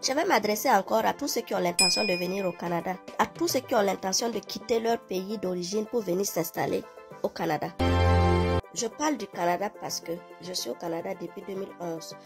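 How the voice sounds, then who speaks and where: A woman's voice: mid-range voice, brisk, music in the background. A woman speaking directly to her phone camera, giving advice in a lecturing way. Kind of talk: advice video.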